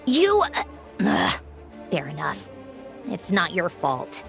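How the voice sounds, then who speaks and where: A sharp, dismissive game engineer boss; brisk and wry, pitch moves a lot. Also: female voice, huge pitch swings, vocal fry, exaggerated voice. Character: sharp-tongued female Fatui harbinger engineer, bossy. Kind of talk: game voice acting. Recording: game dialogue over soft music.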